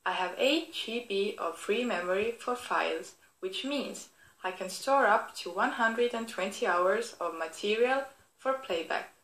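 A woman's voice: medium pitch, expressive, a little slow, a bit bright, roomy sound, poor audio. A woman voicing a friendly, clever fairy tale teddy bear toy, presenting itself to children in an encouraging, instructive way. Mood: proud, helpful. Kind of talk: scripted product demonstration for children.

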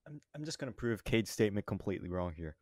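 A man's voice: fairly low pitch, animated, fast, a little mellow, no room sound, studio quality. A young man co-hosting a podcast, arguing his points casually but emphatically. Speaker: podcast co-host. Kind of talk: casual argumentative chat.

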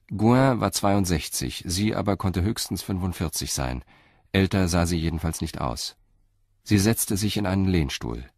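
A man narrating a radio drama in an even, measured storytelling manner. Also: low voice, dry recording, studio quality.

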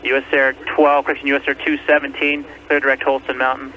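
Fast, flat-voiced male air traffic controller on radio. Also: mid-range voice. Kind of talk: clipped radio phraseology. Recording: muffled, noisy air traffic radio recording.

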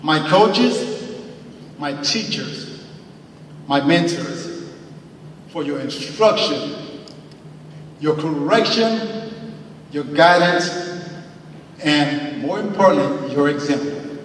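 A man's voice: on the high side, expressive, a bit bright, slight background noise. Earnest man speaking in an echoing hall. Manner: earnest, slow emphatic phrasing with thanks and faith. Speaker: hall of fame inductee. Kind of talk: acceptance speech. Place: large banquet hall, at a podium. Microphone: podium mic, recorded from far in the audience. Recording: echoey hall audio from far off.

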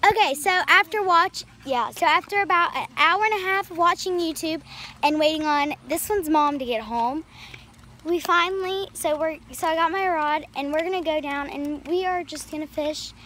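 High-voiced rambling girl vlogger outdoors. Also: normal speed, bright, forceful tone, slight background noise. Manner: rambling, filler-heavy, upbeat. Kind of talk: casual vlog chat. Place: outdoors by a small creek.

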